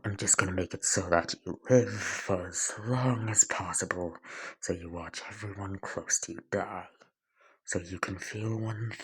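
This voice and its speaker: A man acts out a bitter, menacing masked cyborg in a told story. The voice is low and husky, with slow, deliberate, deadpan delivery full of cold hatred. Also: exaggerated voice, poor audio. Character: bitter vengeful young man turned giant masked cyborg, coldly menacing.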